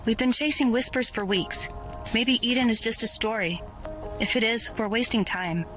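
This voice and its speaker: An actress playing a determined, burdened rebel leader in an audio drama. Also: female voice, a little high, moderate pace, a bit bright, soft background music, lightly acted. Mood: frustrated. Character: determined female rebel leader Seraphine, burdened but full of faith.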